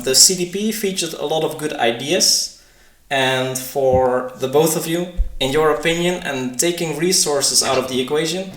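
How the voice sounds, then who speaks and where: Soft-spoken man in a roomy space. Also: on the high side. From Germany. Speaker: game guide creator, panel moderator. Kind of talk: livestream panel discussion.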